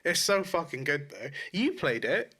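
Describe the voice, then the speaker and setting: A man's voice: a little high, sing-song, a little slow, sharp tone, slightly rough. A British man co-hosting a casual gaming podcast, talking in a slangy, sweary, emphatic way. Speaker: podcast co-host.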